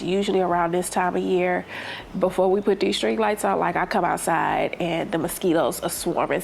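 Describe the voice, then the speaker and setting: A woman's voice: mid-range voice, vocal fry, slight background noise. A woman vlogging from her deck, chatty and upbeat, a bit nasal and stuffed up from allergies. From Texas. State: congested, talking through her nose. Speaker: home vlogger. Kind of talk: casual chat to camera. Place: outdoors on a home deck.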